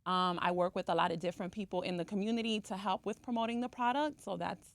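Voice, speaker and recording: A woman's voice: medium pitch, fairly even intonation, fast talker. A woman from Cuba who has lived in the US since early childhood, talking chattily as an esthetician guest in a podcast interview and often checking in with the listener. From Cuba, living in the US since age three. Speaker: interview guest, esthetician and skincare brand owner.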